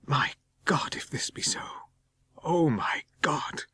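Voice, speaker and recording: A man acts out a terrified young husband in a gothic audiobook. The voice is hushed and breathy, almost whispered, and very dry. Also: a little high, lively intonation, takes it slowly, no room sound, pitched above the performer's own voice, full character voice. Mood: terrified amazement. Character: frightened, breathless young husband, half in terror. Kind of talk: dramatic audiobook reading.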